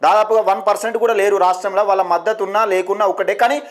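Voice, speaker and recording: Male journalist, Telangana Telugu accent. High register, extremely quick, presses his point repeatedly while staying deferential on a phone call. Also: steady tone. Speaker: journalist on a live show, calling a political leader. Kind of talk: argumentative phone discussion.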